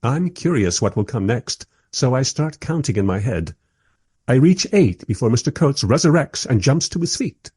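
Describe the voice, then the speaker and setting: A man plays a modern filmmaker recounting events in a radio drama. His voice is middle-pitched and slightly rough, with animated intonation that suits his wry, observant storytelling. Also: normal speed, dry recording, studio quality. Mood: amused, dry. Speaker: narrator. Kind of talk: scripted radio play narration.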